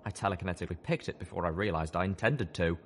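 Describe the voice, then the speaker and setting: A man with a very deep voice narrates an audiobook. His scripted delivery is dramatic, and there is faint noise behind him. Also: fast.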